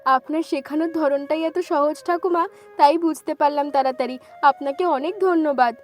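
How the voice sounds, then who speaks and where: A woman's voice: sits high, moderate pace, soft background music, lightly acted. A woman voicing an eager, grateful young granddaughter in a cartoon story. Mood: delighted. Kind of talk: cartoon story dialogue in Bengali.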